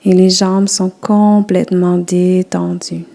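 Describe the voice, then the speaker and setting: Female relaxation guide, warm mellow tone, gentle soothing delivery, very unhurried. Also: fairly low pitch, little pitch movement, gravelly. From Quebec. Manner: slow, soft, soothing instructions. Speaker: yoga nidra guide.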